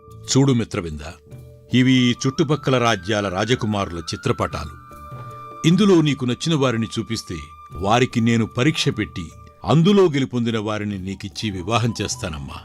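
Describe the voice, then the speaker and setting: A man as storybook king, older, fatherly, formal, measured, animated pitch, light music behind. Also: lightly acted. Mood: fatherly, tender. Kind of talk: dramatized Telugu moral story.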